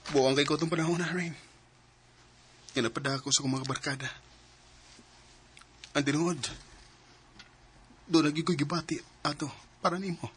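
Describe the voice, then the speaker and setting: A man playing a remorseful, pleading young suitor in a radio drama, speaking earnestly and haltingly with a Cebuano accent. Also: fairly high pitch, fairly even intonation, a little hiss or hum, natural voice. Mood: confessing, pleading. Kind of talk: Philippine radio drama acting. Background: faint music.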